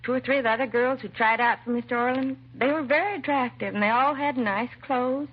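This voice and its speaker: An American actress playing a timid, self-doubting young secretary in a 1950s radio drama, hesitant and apologetic. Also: female voice, high voice, a little fast, breathy edge. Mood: self-deprecating, nervous. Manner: hesitant, nervous, apologetic, trailing and restarting. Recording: muffled vintage radio recording, faint hiss.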